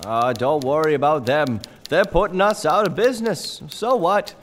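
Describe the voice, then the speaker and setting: An actor playing an excitable, folksy, loyal uncle in a radio play. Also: male voice, fairly high pitch, moderate pace, a little hiss or hum, close to an everyday voice. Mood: resigned, reassuring. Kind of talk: theatrical radio play acting.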